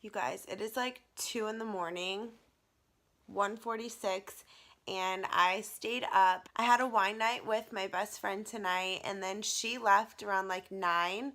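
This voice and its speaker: A young woman vlogging to her camera. She is losing her voice and sounds raspy, and she gushes with excitement and fills her talk with "like". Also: mid-range voice, a little slow, soft background music. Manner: excited, gushing, many "like" fillers. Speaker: vlogger and teacher. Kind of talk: casual talking-to-camera vlog.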